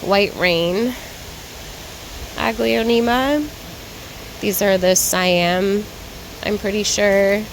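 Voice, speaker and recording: A woman vlogging while she browses a garden center. She chats casually and rambles, naming plants as she goes, and sounds tired and a little raspy from lack of sleep. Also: mid-range voice, very slow, long pauses, slight background noise, poor audio. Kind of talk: casual walk-and-talk vlog. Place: garden center shop floor.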